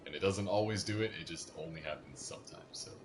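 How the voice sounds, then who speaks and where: A man's voice: deep voice, fast, slight background noise. A man doing casual live commentary as he streams a video game. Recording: game music behind the voice. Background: video game music.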